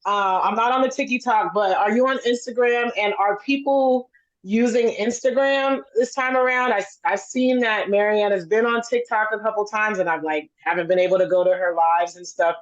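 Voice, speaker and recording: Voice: mid-range voice, fairly even intonation, normal speed, dull audio. A person in their forties on a video call, chatting in a friendly, rambling way with many fillers. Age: 40s. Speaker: campaign supporter on a livestream. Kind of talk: casual video-call chat.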